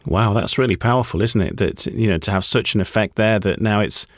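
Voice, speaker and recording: Male radio interviewer, slightly low voice, close dry sound, conversational and a touch halting. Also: no echo.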